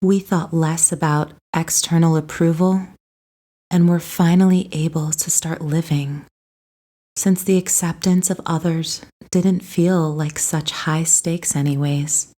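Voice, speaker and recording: A woman narrating a scripted guided meditation in a soothing, gentle, unhurried way. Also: deep voice, fairly even intonation.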